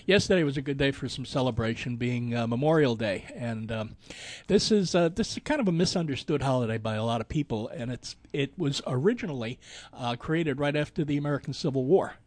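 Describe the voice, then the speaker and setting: Older man explaining things haltingly on radio. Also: mid-range voice, expressive, a little mellow, dry recording. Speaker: radio show co-host. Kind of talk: casual studio talk. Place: radio studio.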